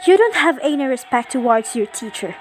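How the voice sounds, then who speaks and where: Young woman as a contemptuous teacher, very high-pitched, noisy recording. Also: lively intonation, fairly quick. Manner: contemptuous, snapping. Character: spiteful female teacher allied with villain. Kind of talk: student radio drama acting.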